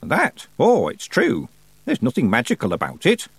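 Storybook: a man doing a very old sly witch woman, raised pitch, slow lofty drawl, very dry close sound. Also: huge pitch swings, creaky, husky, no echo, exaggerated voice. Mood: brisk. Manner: slow, drawn-out, lofty delivery. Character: ancient stern female witch, sly and dry-humored.

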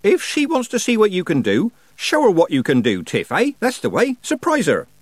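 Man putting on a high, cheeky old witch woman. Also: huge pitch swings, normal speed, no echo, full character voice. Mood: teasing, encouraging.

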